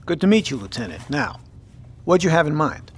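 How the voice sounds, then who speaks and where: A gruff naval commander in an audio drama. His voice is husky and a bit high for a man, and he speaks bluntly, like someone used to giving orders. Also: very animated, fairly quick. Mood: businesslike. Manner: brusque, commanding, plain-spoken. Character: gruff, decisive male American naval officer in command.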